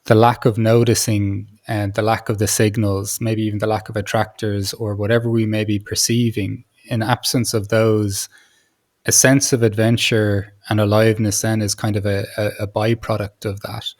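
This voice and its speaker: Low-voiced man, halting and searching for words. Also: flat delivery, a little mellow. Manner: halting, searching for words, rephrasing mid-sentence. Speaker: podcast host. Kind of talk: reflective conversational interviewing.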